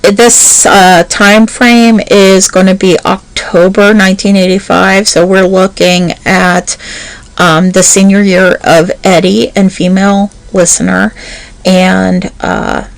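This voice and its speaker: A woman's voice: mid-range voice, fairly even intonation, measured pace, gravelly, dry recording, low-quality recording. A woman voice actor and channel host chatting casually and nervously, rambling with many fillers before her audio roleplay.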